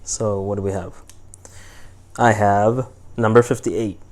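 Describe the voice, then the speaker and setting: A man's voice: fairly low pitch, fairly even intonation, slow, a little mellow. A young man co-hosting a casual podcast, teasing his friend with short, dry replies. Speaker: podcast co-host. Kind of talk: casual chat.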